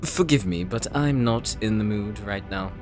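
A man's voice: on the low side, moderate pace, darkish tone, faint music behind, natural voice. A man playing a stubborn, guarded warrior smith in an audio roleplay drama, terse and earnestly indignant. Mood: wary, irritated. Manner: terse, defensive.

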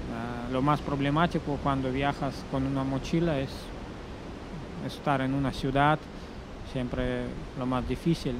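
Slow-talking man telling a travel story. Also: mid-range voice, noisy background. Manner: unhurried, pausing often with fillers and self-corrections. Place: outdoor terrace above a beach. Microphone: stand mic in front of him. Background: music playing behind.

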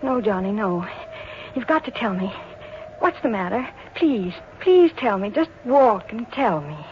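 A woman's voice: mid-range voice, sing-song, measured pace, a little mellow, slight background noise. An actress playing an earnest, inquisitive woman who narrates and acts in a 1940s radio drama. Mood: earnest, pleading. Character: earnest, inquisitive woman recalling her youthful courtship. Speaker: narrator and leading lady. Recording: muffled 1940s radio recording, music.

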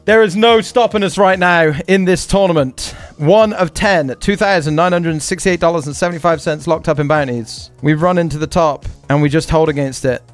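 Faint music plays behind a man with a fairly high-set voice. He hosts a live poker stream and commentates on each decision as he goes. He leans on short, repeated catchphrases between his explanations. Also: fairly quick, few pauses. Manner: talks through hands aloud, repeats short catchphrases.

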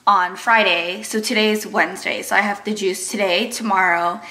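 A young woman in her twenties chatting to a handheld camera at arm's length, a little raspy from just waking, upbeat with many fillers. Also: medium pitch, steady tone, normal speed, pushed and bright, poor audio. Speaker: vlogger. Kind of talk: casual vlog chat to camera. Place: apartment rooms, gym and car. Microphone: handheld fisheye action camera at arm's length.

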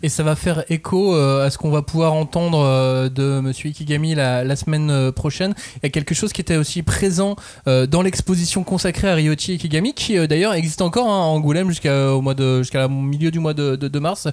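A man hosting a podcast, leading a relaxed round-table with many hesitations. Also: a little high, brisk, few pauses. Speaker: podcast host. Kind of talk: casual podcast discussion.

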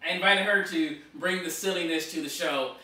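An animated man in his thirties talks casually to camera from a dining room, his voice bright and forward. The mic sits some way off, so his voice carries a strong bouncing room echo. His delivery is lively and conversational. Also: on the high side, moderate pace. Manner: animated, gesturing with both hands. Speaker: blogger and author. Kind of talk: casual vlog talk to camera. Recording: echoey room from far off.

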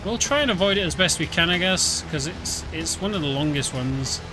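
A man talks over his gameplay in a relaxed, chuckling way. His voice sits a little high. Game music plays loudly behind him. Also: fairly quick. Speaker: streamer. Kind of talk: casual game commentary.

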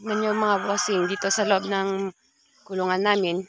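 A man's voice: high-pitched, little pitch movement, normal speed. Chatty young man on a noisy farm. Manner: chatty, repeatedly addresses viewers as bosses. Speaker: farm vlogger. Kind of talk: casual tour narration to viewers. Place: outdoor backyard pig pen on a farm. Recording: noisy outdoor phone recording with roosters. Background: roosters crowing and chickens clucking.

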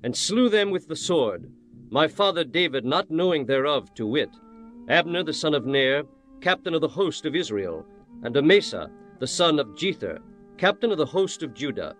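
A man's voice: a little high, very animated, normal speed, fairly bright tone, faint music behind. An actor playing a stern, commanding young king in a dramatized scripture reading, with solemn, declamatory delivery and archaic formal phrasing.

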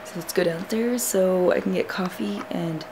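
A young woman in her twenties chatting casually to camera in a vlog, her voice a little raspy, rambling with fillers and restarts. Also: on the low side, moderate pace, noisy background. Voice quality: raspy morning voice. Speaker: fitness vlogger. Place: balcony, then kitchen and backyard. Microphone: handheld camera close up.

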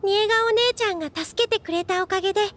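A woman voicing a gentle, polite little girl in an anime game, soft, childlike and reassuring. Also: very high-pitched, rapid-fire. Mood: grateful, reassuring.

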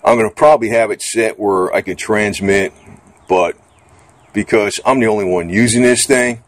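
A man's voice: on the low side, moderate pace. A middle-aged man chatting casually and unscripted about gear, rambling in a plain-spoken, salty way. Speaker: vlogger reviewing gear. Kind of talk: casual, unscripted product chat. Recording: steady background noise.

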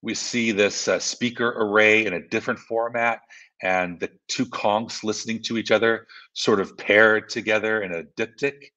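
A man's voice: lowish voice, steady tone, moderate pace, bright, forceful tone, dull audio. A man presenting his own artworks on a video call, hesitant with many fillers. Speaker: artist and panel speaker.

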